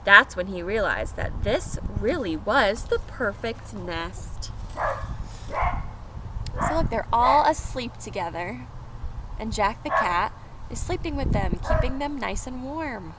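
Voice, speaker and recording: A woman's voice: mid-range voice, big pitch swings, takes it slowly. A woman teacher doing a storybook read-aloud and chatting with small children in simple phrases and questions. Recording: clear background noise.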